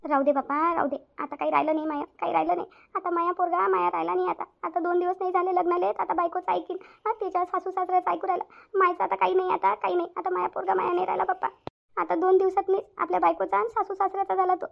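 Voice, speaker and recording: A woman's voice: very high-pitched, level tone, fast, creaky, heavily acted. A woman voicing a stern, self-pitying middle-aged mother-in-law in a cartoon family drama, nagging with repeated questions in a Vidarbha Marathi accent. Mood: tearful, wounded. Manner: nagging, repetitive rhetorical questions. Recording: dull lo-fi audio.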